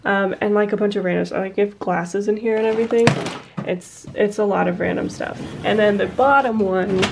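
A woman's voice: medium pitch, fairly even intonation, brisk, creaky, heavy background noise. Young woman vlogger, stuffed-up and slightly breathless, casual rambling chat with lots of fillers, home office at a desk. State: congested, short of breath. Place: small home office, indoors.

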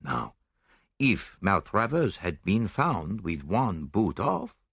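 A man voicing a shrewd, self-assured foreign detective in an audiobook, speaking briskly and precisely with little interjections. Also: deep voice, very animated, a bit bright, breathy edge, no room sound, clean studio sound, full character voice. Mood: explaining, smug.

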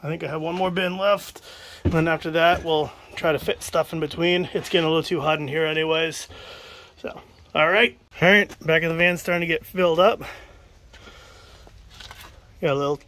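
A man's voice: fairly high pitch, steady tone, moderate pace, fairly bright tone. A man filming himself with a handheld phone while he picks through a cramped wooden shed, musing aloud to viewers in a casual way. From New England. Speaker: estate sale buyer filming a shed cleanout. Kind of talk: casual running commentary while picking items. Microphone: handheld phone camera.

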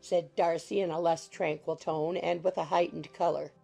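Female audiobook narrator, lowish and husky, scripted literary reading over music. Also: little pitch movement, moderate pace, dark tone.

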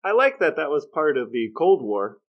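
A man's voice: on the high side, sing-song, moderate pace, muffled recording. A man co-hosting a podcast in casual chat, with a wry, joking manner. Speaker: podcast co-host.

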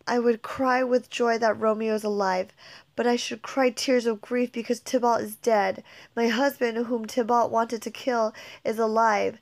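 A woman's voice: on the high side, gravelly. Juliet, voiced by a woman as a grieving young bride. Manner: emotional, declamatory. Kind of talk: dramatic reading of a modernized play.

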